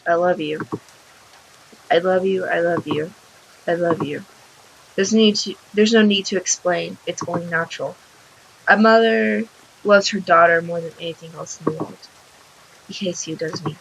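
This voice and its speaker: A woman voicing a cruel, possessive mother from a visual novel in a quiet, taunting, smothering way. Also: lowish voice, fairly even intonation, moderate pace, vocal fry, pauses often, slight background noise, low-quality recording. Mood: doting. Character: cruel, possessive mother scolding and doting on her daughter.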